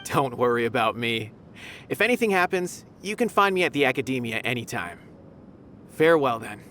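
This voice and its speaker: A man's voice: mid-range voice, big pitch swings, moderate pace, sharp tone, slight background noise. An actor playing a polite, earnest male academy officer who reports courteously, in a video game cutscene. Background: game music.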